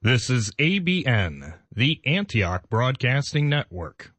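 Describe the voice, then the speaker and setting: A man's voice: medium pitch, big pitch swings, takes it slowly, over music. A man presenting old-time radio in a scripted style, recorded close.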